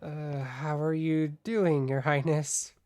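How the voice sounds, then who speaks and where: An actor playing a timid, kindly royal attendant in an audio drama, polite and deferential, somewhat hesitant. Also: male voice, fairly high pitch, steady tone, slow, darkish tone, no room sound. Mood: awkward, polite.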